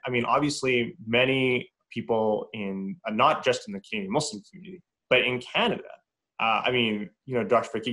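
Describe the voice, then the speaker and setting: A man's voice: medium pitch, fairly bright tone, low-quality recording. A young adult man co-hosting a podcast interview, rambling with lots of fillers. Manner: fast, rambling, many fillers. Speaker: podcast co-host.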